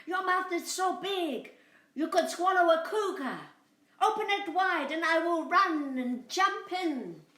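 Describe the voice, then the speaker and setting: A woman's voice: very high voice, expressive, takes it slowly, sharp tone, echoey room, voice pushed up, full character voice. A woman voicing a small, sweet but crafty female lamb in a bleating, pleading singsong for a children's storybook. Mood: coaxing.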